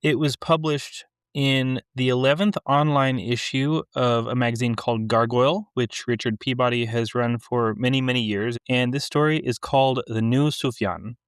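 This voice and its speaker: A man reading literary fiction aloud and discussing it as a podcast co-host, in a measured, deliberate way. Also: mid-range voice, steady tone, clean tone, no echo, studio quality.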